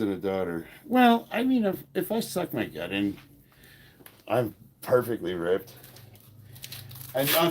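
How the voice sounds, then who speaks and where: A fifty-something American man coaches a workout in a husky, congested voice. He sounds short of breath and talks loosely, rambling and trailing off in the middle of thoughts. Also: mid-range voice, a little mellow. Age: 50s. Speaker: powerlifting coach. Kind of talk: casual training vlog.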